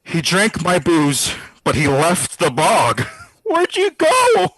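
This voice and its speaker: An actor playing a brash, sardonic skeptic who talks in slangy, theatrical quips in an audio drama diary. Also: male voice, high-pitched, wildly swooping pitch, slow pace, fairly bright tone, breathy edge, no room sound, exaggerated voice. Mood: drunk, rambling. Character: brash wisecracking skeptical man, boozy ghost-study participant.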